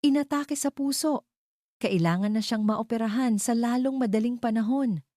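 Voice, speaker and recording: A woman's voice: a little high, normal speed, mellow, dry recording, studio quality, voice pushed up, close to an everyday voice. A woman voicing a worried, motherly older neighbor in an audio drama, urgent and anxious, with a Filipino accent.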